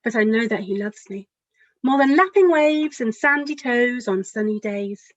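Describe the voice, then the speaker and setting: A woman's voice: a little high, expressive, moderate pace, dry recording, dull audio. A woman children's author reading a picture book aloud expressively, speaking directly to young listeners.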